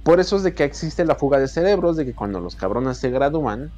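A man's voice: on the high side, quick pace, muffled recording. Mexican guy in his 30s, swears a lot, rambles, webcam at home, faint music behind. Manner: profane, rambling, animated with hand gestures. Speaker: show host. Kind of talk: casual chat.

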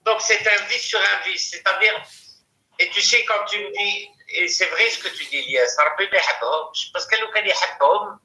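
A man's voice: sits high, a little fast, bright, forceful tone. A man with an Algerian accent chatting casually as a caller, telling mocking stories and mixing two languages. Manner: mocking storyteller, switching between French and Arabic. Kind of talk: casual chat. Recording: echoey radio-like call audio.

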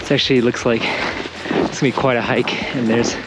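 A man's voice: medium pitch, little pitch movement, normal speed, heavy background noise. A young man narrating a casual travel vlog as he talks to an action camera. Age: young adult. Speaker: travel vlogger. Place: ski resort, partly outdoors on slopes, partly in a gondola. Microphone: action camera at arm's length. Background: music.

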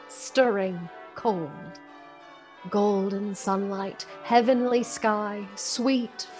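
A woman acts as an expressive storybook narrator for a radio drama. Her medium-pitched voice lingers on descriptive words, with music behind her. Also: close to an everyday voice.

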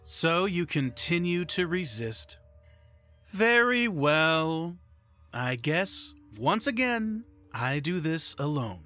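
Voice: mid-range voice, very animated, slow, sharp tone, soft background music, full character voice. A performer voicing a scheming male villain who has taken over a child's body, speaking in a mocking, theatrical way in a fan audio drama.

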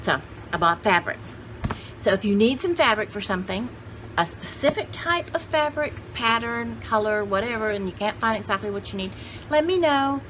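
A woman's voice: a little high, expressive, moderate pace, fairly bright tone, slight background noise, rough recording. A woman in her sixties chatting casually as a vlog host, with a folksy, jokey, rambling manner. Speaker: host of a vintage haul video channel. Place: indoor home studio room with shelves.

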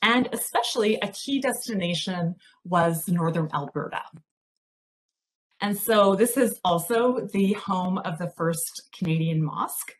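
A woman presenting a history talk over slides on a video call, measured and explanatory with frequent fillers. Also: on the low side, poor audio. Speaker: project researcher presenting a talk.

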